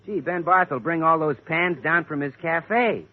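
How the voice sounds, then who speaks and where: A man's voice: a little high, a little slow. An American man announcing on a 1940s radio show, with an eager salesman's patter. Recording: tinny, narrow-band vintage radio recording.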